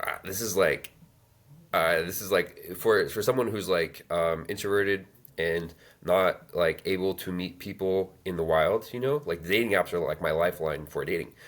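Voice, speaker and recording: A man in his thirties telling his life story as an interview guest, halting with many fillers and restarts. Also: sits low. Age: 30s. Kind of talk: reflective personal storytelling.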